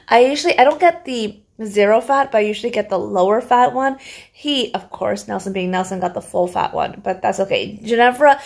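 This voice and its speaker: A woman's voice: medium pitch, moderate pace, gravelly. A woman in her thirties from Canada, chatting casually to a phone camera in her home kitchen as she rambles through a grocery haul. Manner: chatty, rambling, laughs often. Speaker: vlogger showing a grocery haul. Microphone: phone camera at arm's length.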